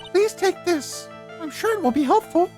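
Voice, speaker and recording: Man putting on a high, polite little girl. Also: lively intonation, darkish tone, soft background music. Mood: shy, earnest. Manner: refined, polite, halting. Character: polite, earnest little girl spirit medium in training. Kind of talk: video game dialogue voice-over.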